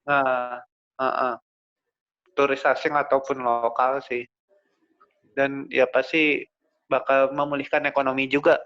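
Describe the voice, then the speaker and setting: A young man, a guest answering in a casual chat with hesitant, halting phrases. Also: medium pitch, level tone, clear, resonant tone. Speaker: podcast guest. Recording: dull lo-fi recording.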